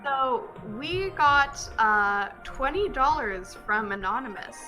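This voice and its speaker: Higher-voiced woman reading donations over music. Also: animated, takes it slowly, bright, forceful tone, low-quality recording. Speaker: donation reader at charity speedrun event. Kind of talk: casual chat, reading out donation messages.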